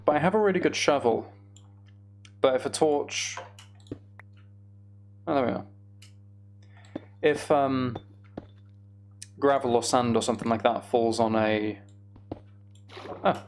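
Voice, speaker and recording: A man with plenty of vocal fry in a middling pitch, commentating casually on his own gameplay for a livestream. He mutters asides to himself and pokes fun at himself as he goes. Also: unhurried, pauses often, a little hiss or hum.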